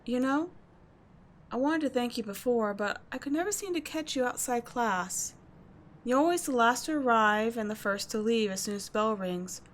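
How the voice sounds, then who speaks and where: A woman playing a tough but kind teenage delinquent schoolgirl in an audio roleplay, talking casually and bluntly to the listener, with swearing and halting, confiding asides. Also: a little high, expressive, slight background noise, natural voice. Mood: sincere, grateful.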